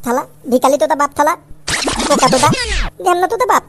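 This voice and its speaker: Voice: high voice, fast talker, music in the background. A performer voicing a cheeky, foul-mouthed little boy in a comic cartoon, boastful and grumbling with a childish lisp. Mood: sulky muttering.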